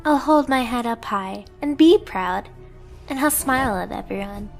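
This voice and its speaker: A woman's voice: on the high side, animated, normal speed, vocal fry, soft background music. A woman voicing a devoted, submissive young catgirl pet in an ASMR romance roleplay, tender, earnest and pleading. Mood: surprised, excited.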